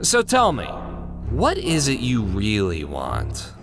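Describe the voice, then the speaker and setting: A man's voice: fairly low pitch, wildly swooping pitch, slow pace, music in the background, heavily acted. A man playing a fearless, sarcastic ghost-hunting scientist in a comic dub, glib and casual with an American accent. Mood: glib, needling. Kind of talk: comic dub character acting.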